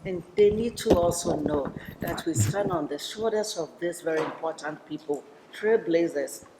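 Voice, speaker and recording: Woman, low register, sincere community leader, reverberant hall recording. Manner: earnest, measured, with frequent fillers. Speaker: executive director of a community organization. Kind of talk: documentary interview. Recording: long reverb with faint noise.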